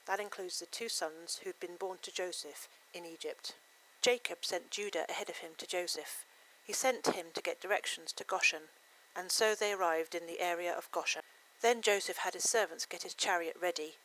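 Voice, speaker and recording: Low-pitched woman narrating a Bible story. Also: normal speed, few pauses, fairly bright tone, low-quality recording.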